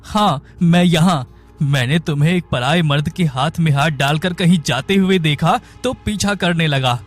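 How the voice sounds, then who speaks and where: A man voicing an earnest, jealous young husband in an animated moral story, with dramatic delivery. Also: fairly high pitch, normal speed, soft background music, lightly acted. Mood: betrayed, angry.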